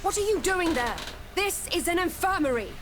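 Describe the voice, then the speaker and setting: An actress playing a worried, caring infirmary nurse in a video game, earnest and plain-spoken. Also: female voice, very high-pitched, animated, moderate pace, background noise. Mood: indignant. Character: worried, exasperated field nurse, caring and frank.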